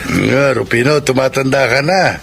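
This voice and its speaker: Gravelly, teasing Filipino drinking buddy. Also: male voice, medium pitch, moderate pace, fairly bright tone. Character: chummy, teasing middle-aged drinking buddy. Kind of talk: Filipino radio drama acting. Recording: noisy radio drama with background ambience.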